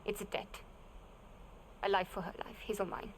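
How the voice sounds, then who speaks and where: A woman's voice: medium pitch, little pitch movement, normal speed, a little hiss or hum, natural voice. An actress playing a guarded, determined young woman in a video game, with a curt, dry and resolute manner. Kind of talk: video game cutscene acting.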